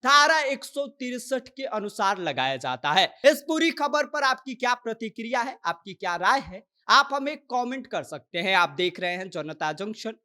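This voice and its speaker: A woman's voice: a little high, very animated, normal speed, few pauses, sharp tone. A woman presenting a news explainer in a scripted reporting style.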